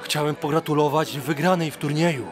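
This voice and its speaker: An actor playing a fawning, excitable gentleman who gushes with deference in an audio drama. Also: on the high side, brisk, faint music behind, lightly acted. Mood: eager admiration. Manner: deferential, gushing.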